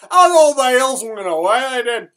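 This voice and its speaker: This is a rambling old Irish storyteller, as a man puts him on, full of broad dialect and tag phrases like "he has." The pitch swings widely from one phrase to the next. He winds through his tale in an improvised comic monologue. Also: sing-song, a little slow, a bit bright. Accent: put-on Irish.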